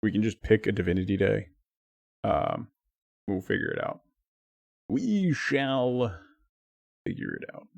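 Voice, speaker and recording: A man streaming live, chatting casually with his viewers and thanking them by name, his talk full of lively swings up and down. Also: on the low side, unhurried, vocal fry, long pauses, no echo.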